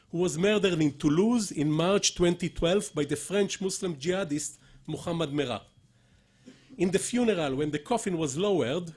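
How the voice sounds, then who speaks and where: A man with a husky voice gives an academic conference lecture, deliberate and emphatic, with rhetorical questions and asides. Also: a little high, a little mellow. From Israel. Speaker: professor, conference speaker. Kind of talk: academic conference lecture, partly read from notes.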